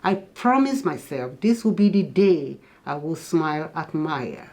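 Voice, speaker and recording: A woman's voice: sits low, lively intonation, unhurried, a little mellow. A woman reading a children's picture book aloud in a teacherly manner, explaining things to young listeners. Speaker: guest storybook reader.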